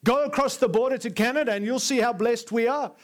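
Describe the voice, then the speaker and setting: A man with an Australian accent preaches to a congregation in a church, his voice sitting noticeably high. He is earnest and emphatic, piling up repeated phrases as he drives each point home. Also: fast talker. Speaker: guest preacher. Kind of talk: sermon. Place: large church before a live congregation.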